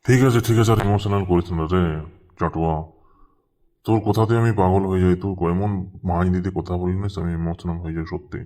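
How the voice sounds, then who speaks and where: Man voicing a low, fast-talking village sidekick. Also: fairly even intonation, mellow, natural voice. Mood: relenting. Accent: rural West Bengal. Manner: blunt, foul-mouthed. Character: gruff, grumbling but loyal village sidekick. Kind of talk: crude comedy cartoon dubbing.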